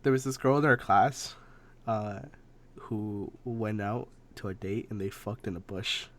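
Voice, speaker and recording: A man's voice: on the low side, a little slow. A man co-hosting a podcast, telling stories casually with swearing and insistent repetition. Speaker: podcast co-host. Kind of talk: casual storytelling and banter. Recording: faint background noise.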